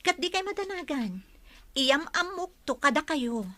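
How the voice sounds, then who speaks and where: A woman's voice: sits high, expressive, a little slow, background noise. A woman playing a sweet young daughter in love in an Ilocano audio drama, with an earnest, youthful delivery. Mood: apologetic, pleading. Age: young adult.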